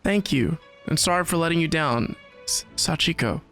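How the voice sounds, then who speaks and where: A man's voice: fairly high pitch, lively intonation, moderate pace, gravelly, a bit bright, soft background music. A man voicing a wounded, regretful merchant as he reads a final letter aloud dramatically from a video game. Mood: remorseful. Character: wounded, remorseful male merchant writing a last letter.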